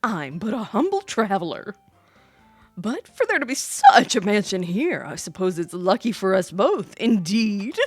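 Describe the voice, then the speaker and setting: A woman voicing an exuberant young gentleman traveler from a video game, theatrical, florid and over-polite. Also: mid-range voice, big pitch swings, measured pace, no room sound, soft background music. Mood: grandly courteous.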